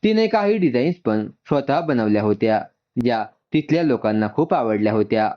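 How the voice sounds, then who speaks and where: Male storyteller, scripted narration, lively intonation, mid-pitched voice, slightly muffled lo-fi recording. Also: fairly quick.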